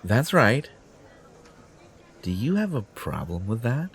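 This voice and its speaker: A man's voice: medium pitch, very animated, takes it slowly, slightly rough, heavily acted. A man playing a smug, possessive, entitled superhero in an audio roleplay, pompous and condescending in manner. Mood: smug, defensive. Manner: pompous, self-satisfied, condescending. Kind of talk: audio roleplay acting addressed to the listener.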